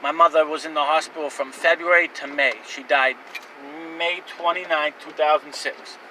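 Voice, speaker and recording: A man's voice: on the high side, steady tone, normal speed, bright, forceful tone, distant mic, slight background noise, low-quality recording. A man in his twenties telling his own life story to camera in a rambling, candid way, with fillers and restarts. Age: 20s. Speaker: vlogger.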